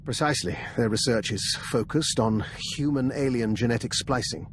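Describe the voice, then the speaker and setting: A man's voice: mid-range voice, moderate pace, a little hiss or hum, lightly acted. An actor playing a shrewd older male scientist spy in a video game, formal, wry and precise. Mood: grave, precise. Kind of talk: video game cutscene acting.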